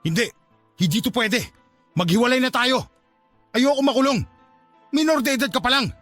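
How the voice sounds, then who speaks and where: A man's voice: very high voice, moderate pace, fairly bright tone, soft background music. A man acting an angry, frightened boyfriend with a Filipino accent in a radio drama, accusing and agitated. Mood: shocked, firm. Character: angry, frightened adult man betrayed by underage girlfriend.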